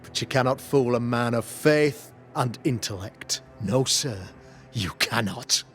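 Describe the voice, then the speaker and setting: A man's voice: medium pitch, unhurried, a bit bright, faint music behind. An actor playing a self-righteous Puritan witch-hunting governor in a video game, speaking in a formal, preacherly, pompous way. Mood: righteous, stern. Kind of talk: dramatic video game acting.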